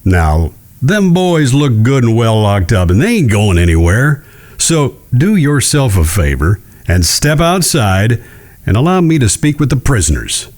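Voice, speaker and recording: An actor playing a weary, irritable Old West judge with a drawl, dryly condescending, in an audio drama. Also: male voice, mid-range voice, very animated, unhurried, a little mellow. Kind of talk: Western audio drama acting.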